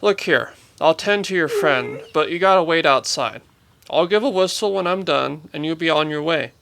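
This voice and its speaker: A crusty vet in an old west radio play, raspy fry, highish voice, gruff. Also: male voice, moderate pace, fairly bright tone. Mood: cold, menacing. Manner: gruff, rustic. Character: lanky weathered grumpy vet, tender toward animals. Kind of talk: Western radio drama acting.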